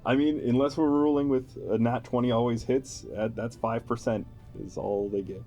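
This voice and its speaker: A man's voice: mid-range voice, steady tone, moderate pace, mellow, soft background music. An amused, playful man, explaining a tabletop game character build in a chatty style. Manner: amused, playful, chuckling often. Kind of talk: explanatory chat with a co-host.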